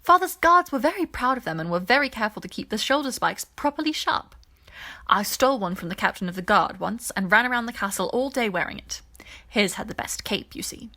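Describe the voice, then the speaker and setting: Woman voicing a lively refined alien princess, bright. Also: on the high side, fairly quick, exaggerated voice. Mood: fondly playful.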